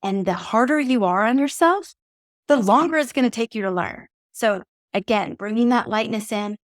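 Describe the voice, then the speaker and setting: A woman hosting a podcast, giving earnest, encouraging advice in a steady, reflective teaching monologue. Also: a little high, moderate pace, dry recording, studio quality. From native English speaker. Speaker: podcast host.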